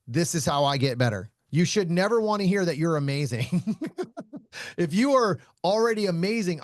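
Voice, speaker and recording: A man who hosts a podcast and teaches shooting, talking casually in a loud voice, blunt, laughing often and swearing freely. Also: sits high, no room sound, studio quality. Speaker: shooting instructor and podcast host.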